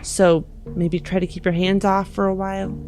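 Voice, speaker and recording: A woman playing an earnest, protective technomancer in a science fiction tabletop game, speaking with measured, hesitant pauses. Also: medium pitch, little pitch movement, a little mellow, soft background music, natural voice. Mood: firm, uneasy. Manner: measured, hesitant pauses, pleading yet firm.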